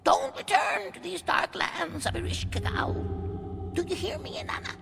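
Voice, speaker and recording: A woman's voice: extremely high pitch, normal speed, creaky, over a noisy crowd, exaggerated voice. A woman in a radio play voicing an eccentric, zealous underworld boatman creature who mutters theatrically and swings into cries. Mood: grandiose, zealous.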